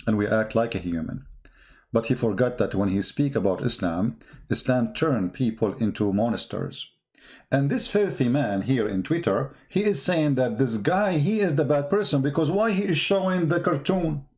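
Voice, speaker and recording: A man's voice: mid-range voice, lively intonation, normal speed, a little mellow. A man who speaks English as a second language, giving impassioned off-the-cuff news commentary in an emphatic, repetitive way full of rhetorical questions. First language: not English.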